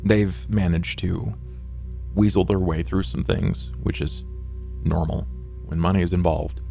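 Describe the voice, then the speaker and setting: A very deep, creaky voice for a courtly and macabre vampire fixer, acted by a man in a tabletop game. He speaks formally and ornately, in measured phrases, over music. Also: fairly even intonation, dark tone. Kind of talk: improvised tabletop roleplay drama.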